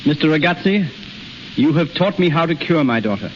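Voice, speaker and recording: A man's voice: fairly high pitch, expressive, brisk, lightly acted. Male actor, older doctor father, reassuring and courteous, period radio acting, dull sound with slight noise. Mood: earnest, gracious. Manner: formal, earnest, reassuring. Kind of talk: 1930s radio drama acting. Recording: dull, hissy 1930s radio recording.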